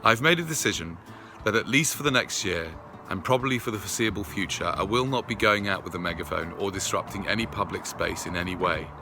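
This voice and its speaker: A man earnestly reading out a prepared personal update to his followers in a deliberate way. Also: low voice, lively intonation, over music. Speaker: video creator addressing followers.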